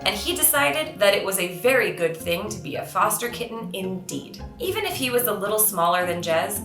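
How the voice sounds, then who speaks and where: A woman author reading aloud in an expressive, earnest children's storytelling style. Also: medium pitch, fairly quick, roomy sound, music in the background.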